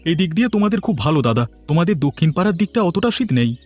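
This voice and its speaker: A man's voice: fairly high pitch, big pitch swings, fast, a little mellow, faint music behind. A man playing a friendly, proud village palm-sap tapper in a cartoon, with a folksy manner. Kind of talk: cartoon dialogue.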